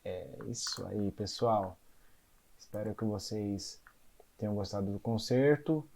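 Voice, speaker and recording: A man's voice: fairly low pitch, unhurried, vocal fry, a little mellow, slight background noise. A man, a conductor and music teacher, explains a big band score in a casual, explanatory way and keeps checking in with his listeners. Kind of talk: explaining a score in an educational concert video.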